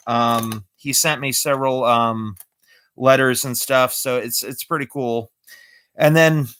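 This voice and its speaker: A man's voice: medium pitch, sharp tone, clean tone, dry recording, clean studio sound. A man chatting casually on a live stream, explaining his magic tricks step by step. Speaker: amateur magician.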